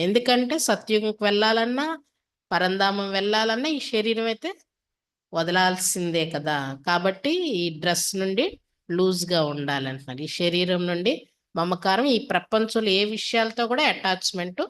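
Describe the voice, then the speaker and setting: A woman teaching a spiritual class in a didactic way, posing questions and repeating refrains. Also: fairly low pitch. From India. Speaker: spiritual teacher reading and explaining a daily discourse. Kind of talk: devotional sermon to a class.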